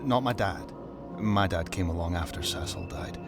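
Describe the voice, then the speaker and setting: Man acting low, subdued mysterious game guide. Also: normal speed, lightly acted. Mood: subdued, terse. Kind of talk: understated video game voice acting. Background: atmospheric game music.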